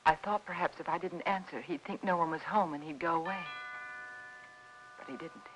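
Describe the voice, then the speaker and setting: An actress playing a frightened, desperate housewife in old-time radio noir style, anxious and confiding. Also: female voice, fairly low pitch, steady tone, moderate pace, close to an everyday voice, poor audio. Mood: haunted, hushed dread. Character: frightened, desperate housewife hiding her husband's death. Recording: old radio drama with faint music.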